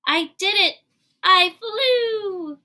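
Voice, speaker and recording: A woman's voice: extremely high pitch, animated, drawn-out words, sharp tone, voice pushed up, heavily acted. A woman voicing an eager little storybook pig girl. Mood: triumphant. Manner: eager, chanting. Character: excited little pig girl who wants to fly. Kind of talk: children's storybook reading.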